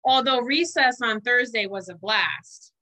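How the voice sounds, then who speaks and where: A woman's voice: fairly high pitch, measured pace, bright, forceful tone. A middle-aged American woman reading a children's picture book aloud as a storytime reader. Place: small room indoors. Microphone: webcam video call.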